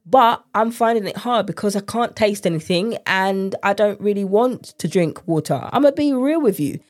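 A woman hosts a casual coaching podcast on her own. Her voice sits at a medium pitch and sounds slightly stuffed up and nasal. She talks in a chatty, direct way, addressing listeners informally. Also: a little mellow. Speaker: podcast host and nutrition coach.

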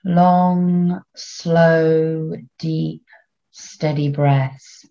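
Slow, low-voiced woman guiding meditation. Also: steady tone, vocal fry, warm tone, muffled recording. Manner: soothing, unhurried, long pauses between short phrases. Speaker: meditation guide. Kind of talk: guided meditation.

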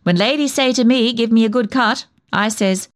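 An actress playing a snobbish, chatty village dressmaker and gossip in a comic monologue, fussy and full of insinuation. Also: female voice, on the high side, normal speed, dry recording, clean studio sound, lightly acted. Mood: fussy, gossipy. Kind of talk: comic dramatic monologue reading.

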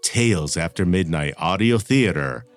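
A man's voice: low-pitched, animated, normal speed. A man hosting a podcast in casual chat, with a wry, blunt manner. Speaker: podcast host. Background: background music.

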